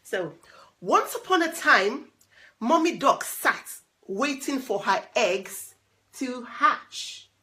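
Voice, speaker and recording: A woman reading a children's picture book aloud in an expressive, dramatic way, with little asides to the listeners. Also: fairly high pitch. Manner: dramatic, animated, with drawn-out repeated words.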